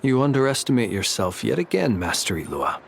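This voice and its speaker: Formal, gravelly-edged mentor figure. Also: male voice, medium pitch, big pitch swings, slight background noise. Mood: composed, encouraging. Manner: formal, measured, courteous phrasing. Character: formal, composed, encouraging male Lightkeeper comrade. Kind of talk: video game voice acting.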